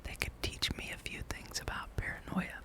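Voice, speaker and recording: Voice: moderate pace, close to an everyday voice. Whispering wary fantasy rebel read by a gamer. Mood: wary, serious. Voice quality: breathy whisper. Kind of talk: whispered read-aloud of fantasy game dialogue.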